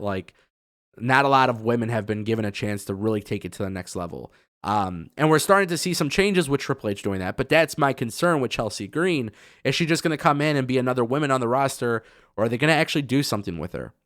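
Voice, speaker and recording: A man hosting a wrestling news show, his voice raspy from a cold, talking casually and giving his opinions with lots of fillers. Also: medium pitch, dry recording, clean studio sound. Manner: chatty and opinionated, with many fillers.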